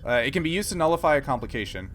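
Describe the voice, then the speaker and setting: A man with a bright, forward voice serves as game master at a casual tabletop session. He narrates and makes rulings in conversational language, with clear noise behind him. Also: fairly high pitch, sing-song, rapid-fire.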